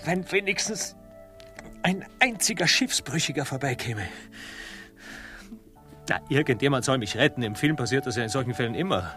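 An actor in a comic radio drama playing a pompous, cowardly, self-pitying admiral, melodramatic and whining. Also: male voice, mid-range voice, lively intonation, moderate pace, pauses often, sharp tone. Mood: self-pitying grumbling. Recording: music behind the voice.

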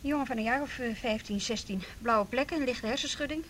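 Radio drama: brisk female youth police officer, played by an actress, higher voice, matter-of-fact, slight background noise. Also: a bit bright, lightly acted, rough recording. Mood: intrigued, matter-of-fact. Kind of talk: Dutch radio play acting, naturalistic dialogue.

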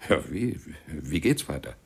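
A man acting in a German radio play as a hesitant fellow trying out a jazzman's reminiscences, with a gravelly, husky voice. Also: very deep voice, big pitch swings, full character voice. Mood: hesitant, amused. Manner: halting, unsure, breaking off to ask for approval.

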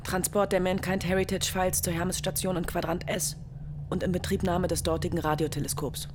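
A woman's voice: on the low side, flat delivery, fairly quick, natural voice. An actress playing a young woman commander waking from hypersleep in a radio drama, giving terse, clipped answers. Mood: subdued. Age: 20s. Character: young woman astronaut, groggy after hypersleep. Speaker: spaceship commander. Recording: noisy radio play recording.

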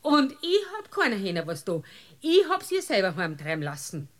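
A woman's voice: mid-range voice, wildly swooping pitch, moderate pace. An actress playing an indignant, gossipy Bavarian village woman giving dramatic, aggrieved testimony in a radio comedy. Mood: aggrieved storytelling. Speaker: witness in a courtroom drama. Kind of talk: dialect radio comedy acting.

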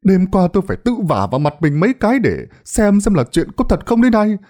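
A man voicing an excitable young village man, talking in a shrill, pleading, frantic way. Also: fast talker.

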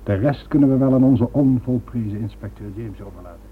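An actor playing a cold, businesslike criminal conspirator in a radio drama, curt and calculating. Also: male voice, medium pitch, fairly quick, phone-quality sound, lightly acted. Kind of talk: Dutch radio drama acting. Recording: thin, noisy old radio recording.

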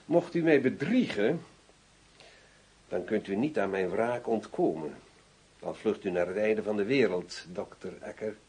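Ex-general arms dealer, husky voice, measured, disdainful, quietly menacing, radio drama. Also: male voice, on the low side, expressive. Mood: coolly threatening. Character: cynical German ex-general turned arms dealer, smoothly menacing.

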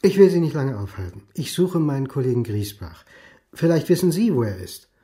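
A man's voice: mid-range voice, sing-song, a little fast, mellow, lightly acted. A man playing a reserved, dogged academic turned amateur sleuth in a radio crime drama, speaking politely, formally and matter-of-factly. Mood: polite, probing.

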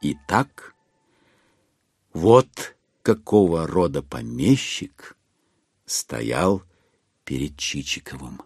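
A man narrating a literary story in an expressive, theatrical storytelling manner. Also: lowish voice, no room sound, studio quality. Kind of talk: scripted dramatic literary narration.

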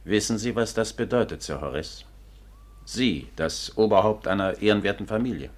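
Police inspector, very low voice, courteous, earnest, official, old radio drama. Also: male voice, animated, normal speed. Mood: stern, shocked. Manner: formal, deferential, matter-of-fact. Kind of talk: 1950s German radio play acting.

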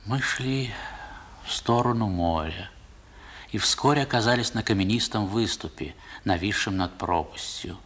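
A man's voice: lowish voice, unhurried, fairly bright tone. A man narrating a 1960s radio play as a grown storyteller recalling boyhood, in a dramatic literary manner, on an old recording. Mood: reflective.

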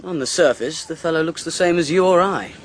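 An actor playing a self-assured, sardonic police inspector in a radio drama, speaking in clipped, dry phrases. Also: male voice, fairly high pitch, brisk, slight background noise, close to an everyday voice. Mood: smug, brisk.

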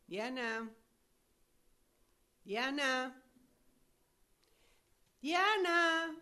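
A woman's voice: sits high, lively intonation, extremely slow, bright, forceful tone, heavily acted. A woman with a Rioplatense Argentine accent acting in an amateur radio play as an exasperated, bossy friend on a hike, impatient and nagging. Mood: exasperated calling out.

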